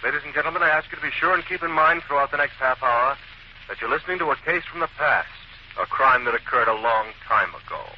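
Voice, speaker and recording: An actor playing a genial, composed gentleman detective who narrates cases in a 1940s radio drama. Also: mid-range voice, fast, lightly acted. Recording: dull, noisy old radio broadcast.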